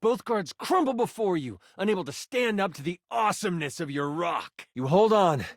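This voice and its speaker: An animated game master narrating a fantasy adventure. His pitch sits a bit high and swings widely, with theatrical suspense, in a dry, close recording. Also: normal speed, dry recording. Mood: excited. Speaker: voice actor. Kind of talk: dramatic tabletop game narration.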